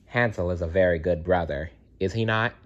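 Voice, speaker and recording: A man narrating a children's fairytale aloud, expressive and speaking directly to young listeners. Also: low-pitched, brisk, highs cut off. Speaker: storyteller.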